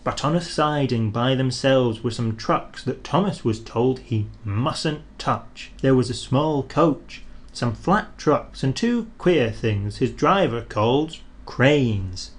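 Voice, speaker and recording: A man reading a children's storybook aloud in a gentle, expressive narrating voice. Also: medium pitch, takes it slowly.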